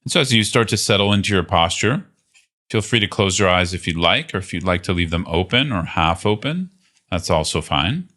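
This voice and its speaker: Very deep-voiced man guiding meditation. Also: expressive. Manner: gentle, unhurried guiding with long pauses. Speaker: meditation teacher. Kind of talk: guided meditation.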